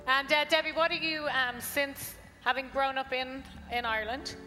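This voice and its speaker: A woman hosting on stage, introducing a guest and preaching, emphatic with filler pauses. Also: sits high, fairly even intonation, sharp tone. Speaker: host. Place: large hall. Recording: echoey hall with faint music.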